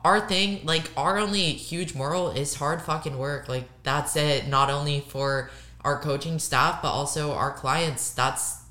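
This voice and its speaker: A young woman co-hosting a casual podcast, hoarse and raspy from losing her voice, emphatic and repeating words for stress. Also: low-pitched, unhurried, sharp tone, echoey room. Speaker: podcast co-host.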